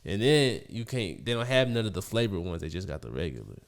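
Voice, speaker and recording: A man's voice: deep voice, big pitch swings, fairly quick, creaky. A man in his thirties, a podcast guest, telling stories in a laid-back, slangy way. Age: 30s. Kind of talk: casual chat.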